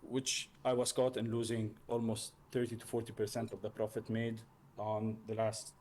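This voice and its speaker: A man from Lebanon, speaking haltingly and casually as a learner in a mentoring chat. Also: lowish voice, monotone, a little mellow, slight background noise. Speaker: learner trader, mentee.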